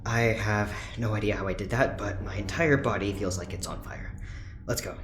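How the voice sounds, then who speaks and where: A woman's voice: rumbling low, normal speed, noisy background. A woman playing an anxious but stubborn research doctor in an audio drama, earnest and pleading. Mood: urgent. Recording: echoing room, music behind.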